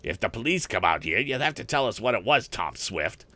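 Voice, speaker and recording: A man's voice: fairly low pitch, fairly quick, pitched above the performer's own voice, heavily acted. A man voicing nosy, demanding teenage boys, a small-town bully and his cronies, in a storybook reading. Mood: eager, demanding. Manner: demanding, excitable.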